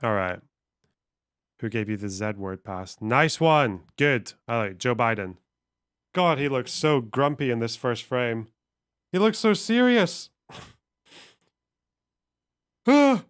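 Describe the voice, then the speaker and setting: A man's voice: mid-range voice, big pitch swings, slow pace, pauses often, clear, resonant tone, no echo, studio quality. A man streaming, reading text aloud and musing on it casually, swearing offhandedly. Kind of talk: casual livestream commentary.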